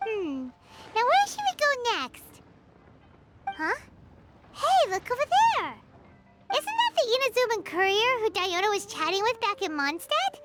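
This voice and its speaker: An extremely high, childlike fairy sidekick from a video game. She is chirpy and excitable, and her pitch swoops wildly over music. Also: unhurried, pauses often, exaggerated voice. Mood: curious. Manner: chirpy, talks of herself in third person. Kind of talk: animated video game dialogue.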